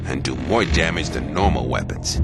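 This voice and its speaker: A man playing a gruff, territorial mine boss in a fantasy video game, gravelly and dismissive. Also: a bass voice, normal speed. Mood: gruff, explaining. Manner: bossy, dismissive. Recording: loud music behind the voice.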